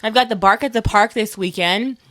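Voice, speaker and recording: A woman's voice: mid-range voice, a little fast, dry recording. A woman hosting a podcast in a casual, informal storytelling way, acting out quotes as she goes. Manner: animated quoting, swearing, informal. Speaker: podcast host.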